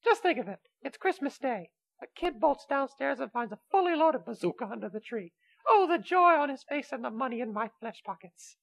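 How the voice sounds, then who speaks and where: A woman voicing an immortal magical cat, childish, gleefully wicked and excitable, in an amateur comedic radio play. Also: sits high, fairly quick, vocal fry, heavily acted, low-quality recording. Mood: brash, excitable. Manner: brash, excitable, whiny.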